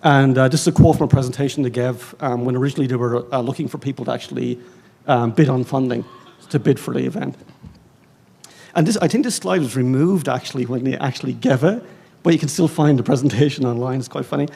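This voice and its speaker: Irish male panelist, husky, mid-pitched, chatty and self-deprecating. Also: fast. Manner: rambling, animated, self-mocking, heavy with fillers. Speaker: panelist, librarian. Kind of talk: conference panel discussion. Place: conference stage.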